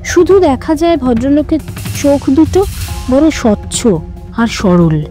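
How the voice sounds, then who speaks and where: A woman reading a literary story aloud with dramatic, measured, expressive narration over music. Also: high voice. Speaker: story reader. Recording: music under the voice.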